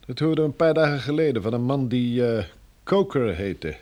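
Actor as a courteous pilot, medium-pitched voice. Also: male voice, lively intonation, fairly quick, dark tone, close to an everyday voice. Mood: polite. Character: polite, affable helicopter pilot, male fellow survivor. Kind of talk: Dutch radio drama acting.

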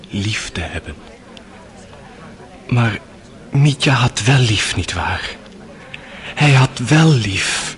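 An actor playing a gentle, grieving, devout young man in a radio drama, earnest and reflective. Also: mid-range voice, natural voice. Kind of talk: Dutch radio drama acting.

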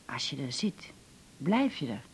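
A woman playing a cautious older woman in a Dutch radio drama, doubtful and questioning, with a husky, breathy voice. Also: very deep voice, very animated, unhurried, a little hiss or hum, exaggerated voice. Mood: wary. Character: hesitant, cautious older woman weighing a proposal.

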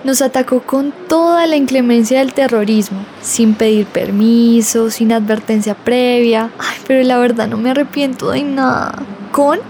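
An actress plays a swooning woman in a radio soap. Her highish voice is theatrical and melodramatic, over faint background noise. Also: fairly even intonation, moderate pace, hardly any pauses, darkish tone, natural voice. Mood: rapturous. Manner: sighing, theatrical.

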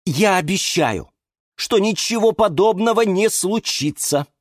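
A man's voice: fairly high pitch, big pitch swings, unhurried, dry recording, clean studio sound, voice pushed up. A man voicing a patient, kind man in a children's story, speaking earnestly and persuasively. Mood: patient pleading.

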